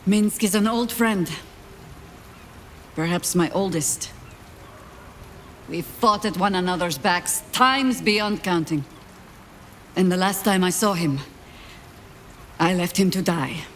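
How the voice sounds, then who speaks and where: A woman playing an older, world-weary warrior leader, with a measured, dry, sardonic manner in a fantasy game drama. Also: lowish voice, a little hiss or hum. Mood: grave. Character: wry, world-weary old female warrior, pragmatic leader.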